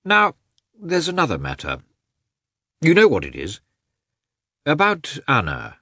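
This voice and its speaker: Man acting a high, pleading, excitable gentleman. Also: a little slow, no room sound, studio quality, full character voice. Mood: earnest pleading. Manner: emphatic, imploring, effusive. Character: earnest, pleading, excitable gentleman begging for pity. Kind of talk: audiobook character voice.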